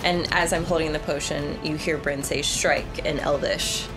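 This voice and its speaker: A woman with a slightly low voice plays a tabletop role-playing game, casually talking through what her character does. Music is clearly heard behind her. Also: steady tone, normal speed, rough recording.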